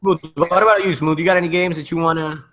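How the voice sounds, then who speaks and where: A man hosts a casual panel conversation at a rapid clip. His voice sits a little high, and he steers the group while adding his own opinions. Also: steady tone, fairly bright tone. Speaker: host steering a panel discussion.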